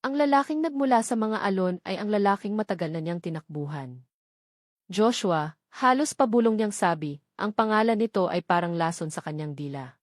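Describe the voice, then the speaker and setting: A woman's voice: medium pitch, expressive, a little fast, no echo. Filipino woman narrating a dramatic story, dry sound. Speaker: audiobook narrator.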